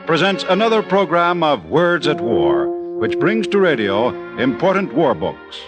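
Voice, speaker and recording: A man's voice: a little high, sing-song, measured pace. A man announcing a 1940s radio drama in a formal, dramatic scripted style. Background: loud music.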